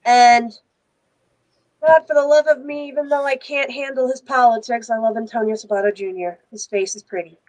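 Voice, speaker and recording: A woman with a high voice speaks casually as a panelist. She is on a video call, talking in an informal, conversational way. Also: steady tone, normal speed, darkish tone, poor audio.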